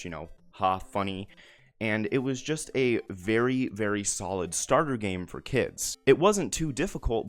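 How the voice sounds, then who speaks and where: A man narrating a video essay in an explanatory, conversational way with emphatic asides. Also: fairly low pitch. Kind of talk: narrated video essay, semi-scripted commentary.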